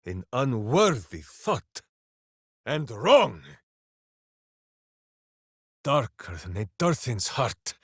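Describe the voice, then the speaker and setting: An actor playing a big-hearted, boisterous, simple-minded heroic ranger in a video game, declaiming theatrically. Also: male voice, mid-range voice, big pitch swings, drawn-out words, pauses often, fairly bright tone, husky, dry recording, studio quality, heavily acted. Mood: earnest, pondering. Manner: theatrical, declaiming, speaks of himself in third person. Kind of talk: video game character voice lines.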